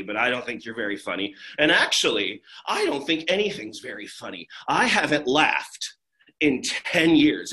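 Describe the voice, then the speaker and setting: A storyteller voicing a grumpy ten-year-old girl who never laughs, flat and sulky. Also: medium pitch, pitched below the performer's own voice.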